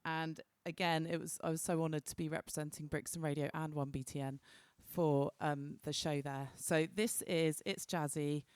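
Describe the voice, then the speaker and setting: Low-voiced woman radio DJ. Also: fairly even intonation, moderate pace, few pauses, darkish tone, clear, resonant tone, dry recording. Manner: chatty, reminiscing about events and tracks. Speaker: radio show host and DJ. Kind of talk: casual music radio presenting. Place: radio studio.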